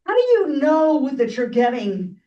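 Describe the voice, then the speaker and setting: A woman with a loud voice putting an unscripted question to a panel from the audience. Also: high-pitched, sing-song, a little slow, muffled recording. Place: echoing library event room. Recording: roomy, distant microphone.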